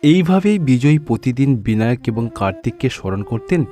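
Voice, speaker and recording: A man's voice: mid-range voice, very animated, normal speed, warm tone, soft background music. A man reading scripted narration for a children's story.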